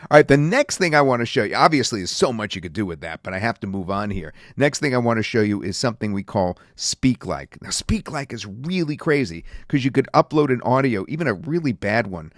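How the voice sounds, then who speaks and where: A man reviewing and demonstrating software in a casual, rambling way with many fillers. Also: mid-range voice, big pitch swings, a little fast, few pauses, dry recording, clean studio sound. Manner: casual, filler-heavy talk. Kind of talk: screen-recorded software demo.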